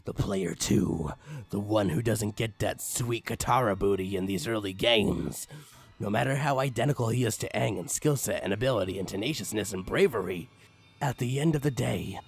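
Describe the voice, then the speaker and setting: A man narrating a comic horror story in a theatrical, mock-ominous manner with drawn-out phrases and a raspy voice. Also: mid-range voice, a little mellow. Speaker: creepypasta reader.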